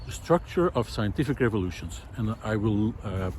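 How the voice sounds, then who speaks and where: A man's voice: fairly low pitch, lively intonation, a little mellow, a little hiss or hum. Reflective man musing outdoors. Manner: reflective, pausing often with "uh". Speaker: video essayist. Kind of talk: musing walk-and-talk monologue. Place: outdoor park lawn. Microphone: handheld camera while walking.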